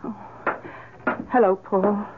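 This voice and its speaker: An actress playing an anxious but gracious painter's wife in an old-time radio drama, earnest and a little hesitant. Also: female voice, medium pitch, steady tone, slow, noisy background, natural voice. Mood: worried. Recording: muffled lo-fi radio drama recording.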